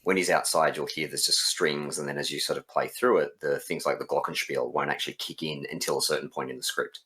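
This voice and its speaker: A man's voice: a bass voice, fairly even intonation, fairly quick, bright, forceful tone. A man who develops and writes games, talking casually in an interview.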